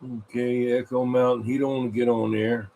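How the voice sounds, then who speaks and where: A man's voice: medium pitch, little pitch movement, normal speed, mellow, poor audio. A congested man hosting a casual livestream, folksy and rambling as he greets viewers and mutters to himself. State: congested, frequent coughing and throat clearing. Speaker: livestream host of a vintage radio show. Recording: clear background noise, room ambience.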